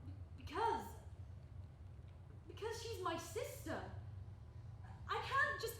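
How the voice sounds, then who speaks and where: A young woman acting in a live stage play as a nervous, polite searcher who stammers and speaks haltingly. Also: extremely high pitch, sing-song, sharp tone, heavily acted. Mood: anxious, pleading. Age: young adult. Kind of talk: live theatre dialogue. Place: echoey indoor performance space. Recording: echoey live stage recording, faint noise.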